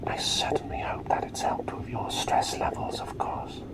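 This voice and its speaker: A man playing a gentle, courteous plague doctor in a whispered ASMR roleplay, breathy and soothing, close to the microphone. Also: natural voice, low-quality recording. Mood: soothing, tender. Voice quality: breathy whisper with low gravelly creak. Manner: soothing, unhurried, quaintly polite. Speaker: ASMR performer.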